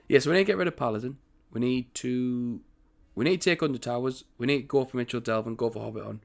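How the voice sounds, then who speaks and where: A man with a croaky early-morning voice talks casually over a strategy game. He rambles and corrects himself as he goes, in a middling pitch at a desk mic in his home setup. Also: a little fast. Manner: chatty, rambling, self-correcting. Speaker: gaming channel host.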